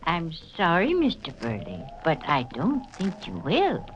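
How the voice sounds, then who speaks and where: A woman's voice: on the low side, wildly swooping pitch, a little slow. An actress playing an intense, eerie, earnest woman in a 1940s radio horror drama. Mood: foreboding. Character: intense, eerie, pleading woman obsessed with her family house. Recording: noisy, dull old radio recording.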